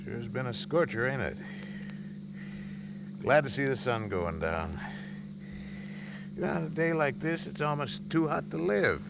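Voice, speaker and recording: A man acting a steady, folksy older lawman in a 1950s radio drama. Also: mid-range voice, measured pace, creaky, pauses often. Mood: dry, folksy. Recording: muffled, noisy vintage radio recording.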